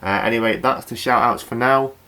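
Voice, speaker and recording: A man's voice: medium pitch, a little fast, sharp tone. An actor playing a chatty, wry male local radio night host. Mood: jovial.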